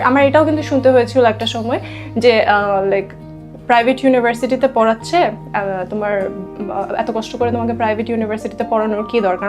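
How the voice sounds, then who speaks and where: A young adult woman with a Bangladeshi accent speaking casually as an interview guest, often switching between Bangla and English. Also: fairly high pitch. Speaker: interview guest, trainer and content creator.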